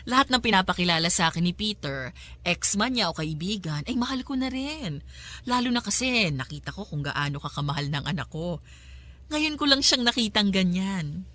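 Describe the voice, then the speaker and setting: A woman's voice: mid-range voice, sing-song, normal speed, pushed and bright, no echo. An actress with a Filipino accent playing a two-faced, haughty, wealthy mother in a radio drama, mixing English into her talk. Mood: gushing, falsely sweet. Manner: gushing sweetness switching to cutting contempt, English mixed in.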